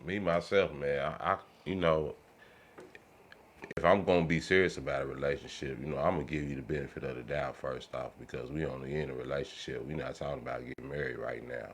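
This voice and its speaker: A man's voice: rumbling low, steady tone, a little fast. A man sharing his view informally as a panelist in a casual podcast conversation. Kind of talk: casual opinion chat. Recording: faint background noise.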